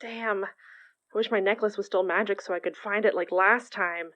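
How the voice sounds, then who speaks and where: A woman playing a sassy, sarcastic and loyal young sidekick in an audio drama, with a casual, expressive delivery. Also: mid-range voice, normal speed, lo-fi sound, lightly acted. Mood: wary, concerned. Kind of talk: audio fiction drama acting.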